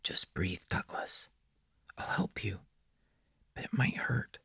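A man voicing a creepy, sinister young boy of the woods in a breathy, coaxing whisper for an audiobook horror story. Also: on the low side, sing-song, slow pace, a bit bright, no echo, studio quality, full character voice. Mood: soft coaxing.

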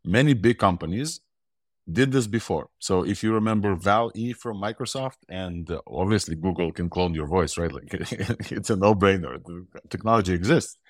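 A low-voiced man with a mixed Russian and Israeli accent hosts a tech talk show. He speaks with eager enthusiasm and rambles through restarts. The recording is close and dry. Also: moderate pace, no echo. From Ukraine. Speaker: podcast host. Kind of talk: casual tech news talk.

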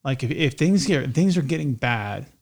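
A man with a slight lisp, chatting casually as a podcast host in a halting way, often restarting his phrases. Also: medium pitch, expressive, gravelly. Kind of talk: casual co-hosted podcast chat.

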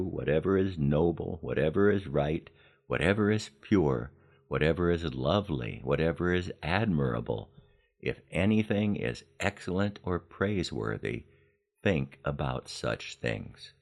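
An American man in his seventies narrating a scripted personal podcast in a measured storytelling manner with deliberate emphasis. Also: rumbling low, animated, creaky. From Los Angeles, United States. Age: 70s. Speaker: podcast host.

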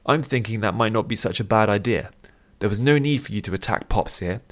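A man's voice: lowish voice, brisk, pitched above the performer's own voice, lightly acted. A man voicing a fierce, threatening woman with a pistol in an audiobook story, snarling her commands. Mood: stern threat.

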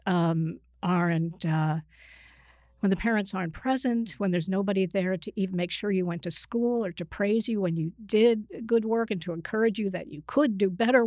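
A woman's voice: medium pitch, a little mellow, no echo, clean studio sound. A middle-aged woman answering as an interview guest, conversational and thoughtful, feeling her way through explanations with self-corrections. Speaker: interview guest, psychiatrist and author.

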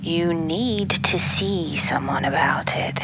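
An actress playing a concerned middle-aged mother in an audio drama, gently and breathily reassuring her grown daughter. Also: low-pitched, takes it slowly, heavily acted, poor audio. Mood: concerned, soothing. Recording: clear background noise, ambience.

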